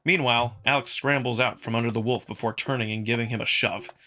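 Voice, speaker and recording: A man's voice: medium pitch, steady tone, fast, loud music behind the voice. A man narrating a visual novel in the first person, reading from a script. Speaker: narrator and performer.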